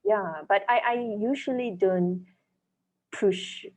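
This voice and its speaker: A woman with a Malaysian accent, a native Chinese speaker, answering interview questions as an author in a thoughtful, measured way, searching for words. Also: mid-range voice, a little mellow, poor audio. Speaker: author and dentist guest.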